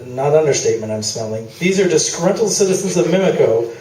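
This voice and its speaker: A man's voice: on the high side, lively intonation, brisk, darkish tone, close to an everyday voice. A man playing a sardonic, skeptical newsman in a live staged radio play, delivering dry, needling replies. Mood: wry, skeptical. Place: small theatre stage, indoors. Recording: roomy, distant live theatre video, noisy.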